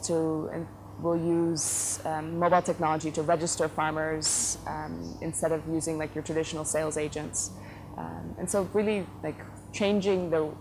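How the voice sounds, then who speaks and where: A woman from the Netherlands speaks in a low, steady register with little rise and fall. She is giving relaxed interview answers as a company head, thinking aloud with frequent fillers and restarts. A clear hiss of background noise sits under her voice. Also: low-quality recording. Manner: thoughtful, frequent fillers and self-corrections. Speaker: company CEO, interview guest.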